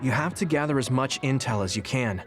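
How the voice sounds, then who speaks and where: A man's voice: mid-range voice, lively intonation, brisk, over music, close to an everyday voice. An actor playing an earnest, supportive and self-assured teenage boy soldier in a video game. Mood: earnest, grateful. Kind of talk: English video game voice acting.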